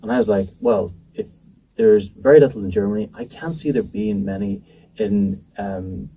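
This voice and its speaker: Guy answering interview questions, dark mellow voice, kind of far from the mic, lots of ums. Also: on the low side, normal speed. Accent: not from New Zealand. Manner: hesitant, many ums and restarts. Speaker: event organizer, interview guest. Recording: distant mic with faint noise.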